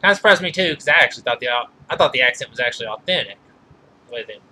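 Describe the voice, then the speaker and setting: A man giving informal film trivia commentary as a reviewer, halting and often correcting himself mid-sentence. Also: mid-range voice, expressive, bright, forceful tone, slight background noise. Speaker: movie reviewer.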